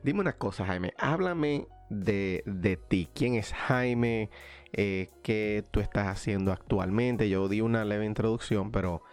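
A man's voice: fairly low pitch, a little slow, smooth, faint music behind. A man hosting a motivational podcast, talking in an encouraging, conversational way. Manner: encouraging, motivational, frequent restarts. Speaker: podcast host. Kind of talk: casual conversational interview.

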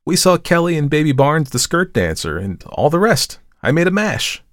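A man's voice: fairly high pitch, sing-song, normal speed, dry recording, clean studio sound, voice pushed up. A man voicing a cheerful, chatty young woman who works as an artist's model. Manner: bubbly, chatty.

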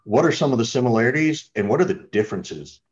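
A man's voice: medium pitch, big pitch swings, fast, darkish tone, muffled recording. A man hosting a podcast, asking curious, rambling questions. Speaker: podcast host. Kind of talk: casual podcast conversation.

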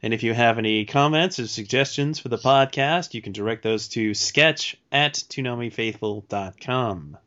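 A man with a bright, forceful, mid-pitched voice co-hosts a podcast. He talks casually but in an orderly way, on a slightly dull lo-fi recording. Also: normal speed, few pauses. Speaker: podcast co-host. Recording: dull lo-fi voice track.

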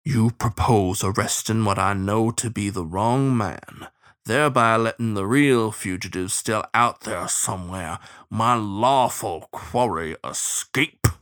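Man voicing a slow, sepulchral bloodhound lawman. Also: fairly low pitch, a bit bright. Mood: cold, menacing. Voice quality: sepulchral, baying. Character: grim, relentless bloodhound lawman, menacing and stubborn.

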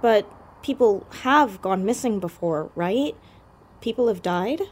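An actress playing a persistent, inquisitive teenage girl sleuth in an audio drama, earnest and halting as she probes. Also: mid-range voice, expressive, slight background noise, close to an everyday voice. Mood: probing.

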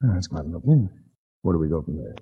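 An actor playing a wry hard-boiled investigator in a 1940s radio drama, speaking in a dry, measured, wisecracking way. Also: male voice, low-pitched, big pitch swings, slightly rough, heavily acted. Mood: wry, flirting. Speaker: lead actor and narrator. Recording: dull 1940s radio recording.

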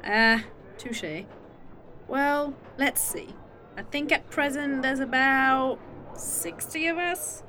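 A woman's voice: sits high, bright, forceful tone, soft background music, full character voice. Dry-witted time traveler, voiced by a woman. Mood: playful. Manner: dry, teasing, quick-witted. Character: snarky woman time traveler with a fake arm. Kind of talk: indie audio drama acting.

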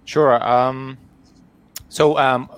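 A man's voice: mid-range voice, steady tone, drawn-out words, fairly bright tone, clear, resonant tone. A middle-aged man from Ukraine with a Ukrainian accent, answering as a guest in a webinar interview over a video call, full of fillers and restarts. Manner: hesitant, many fillers and restarts.

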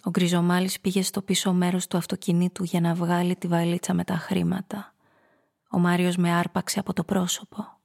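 An actress playing a hard-boiled femme fatale who narrates her downfall in a radio drama, in a measured, confessional way. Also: on the low side, level tone, vocal fry, a little mellow. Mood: dark, haunted.

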